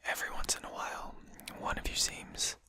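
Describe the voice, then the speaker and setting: A performer voicing a courteous, genteel vampire in a whispered ASMR roleplay, speaking formally and deliberately in an unvoiced, breathy whisper. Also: pushed and bright. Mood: reasonable, explaining. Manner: formal, polite, deliberate phrasing. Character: courteous, genteel vampire host lecturing a captured hunter.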